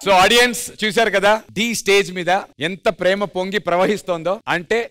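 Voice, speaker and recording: A man hosting a dance show on stage with excitable, teasing comic banter over background music. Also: high voice, a little fast, clean tone. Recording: music under the voice.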